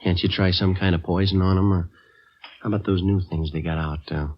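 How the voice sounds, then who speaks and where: An actor playing a businesslike police detective sergeant with an American accent, speaking in a matter-of-fact, deadpan way in an old radio drama. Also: male voice, low-pitched, brisk. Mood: casual, dry. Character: dry, businesslike police detective sergeant narrating his case. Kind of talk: 1950s radio acting, clipped scripted narration. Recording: muffled vintage radio, music behind.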